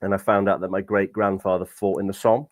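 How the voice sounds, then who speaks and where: A man's voice: deep voice, flat delivery, a little fast, no room sound. A man in his late thirties from the United Kingdom with a British accent, co-hosting a casual podcast in a laid-back, dry manner. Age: 30s. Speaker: podcast co-host. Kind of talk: casual chat.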